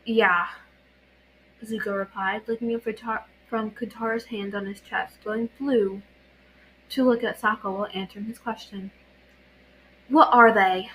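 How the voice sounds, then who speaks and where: A woman's voice: mid-range voice, fairly even intonation, moderate pace, slight background noise, rough recording. A woman narrating fan fiction as an amateur reader, often stumbling and rereading words. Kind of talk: story reading.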